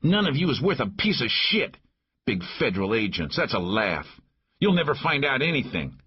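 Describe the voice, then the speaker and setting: A man's voice: medium pitch, big pitch swings, moderate pace, slightly rough, dull audio, voice pushed up. A man voicing a young, hot-headed, sneering bully in an audiobook. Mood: scornful. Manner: taunting, belligerent. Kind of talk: audiobook character voice.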